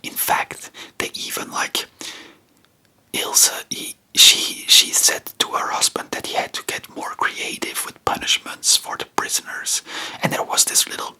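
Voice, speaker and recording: A non-native English speaker whispers in a breathy, very bright and sibilant voice. The delivery is very slow, informal and hesitant, like an ASMR host rambling spontaneously through a history chat. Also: rough recording. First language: not English. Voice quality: soft, breathy, unvoiced whisper. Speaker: ASMR video creator and host.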